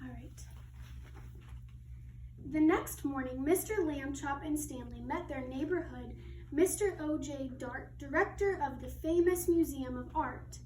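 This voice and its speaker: High-voiced woman teacher reading, in an echoey room. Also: unhurried. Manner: expressive, teacherly. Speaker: second grade teacher. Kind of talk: read-aloud of a children's story with asides to pupils. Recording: roomy echo, distant mic, faint noise.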